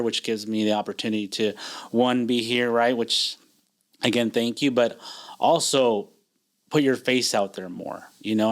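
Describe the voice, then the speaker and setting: A man in his forties, a chiropractor guest in a podcast interview, talking in a relaxed, reflective way with many fillers and restarts. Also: lowish voice. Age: 40s. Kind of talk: casual interview conversation.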